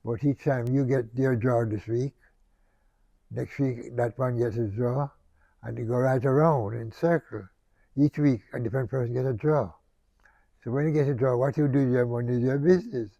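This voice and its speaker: A man's voice: mid-range voice, fairly even intonation, moderate pace, gravelly, mellow, no echo. An older man recounting his past in an interview in short, plain phrases, on a slightly lo-fi recording. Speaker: local resident interviewee. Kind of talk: personal reminiscence in an interview.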